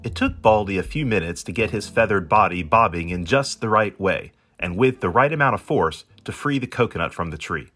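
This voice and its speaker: A man's voice: lowish voice, expressive, brisk, few pauses, a bit bright, soft background music. A man reading as a pampered, proud, hungry stranded dog, narrating in a wordy, wry, self-important manner. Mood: exasperated. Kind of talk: first-person storybook narration in character.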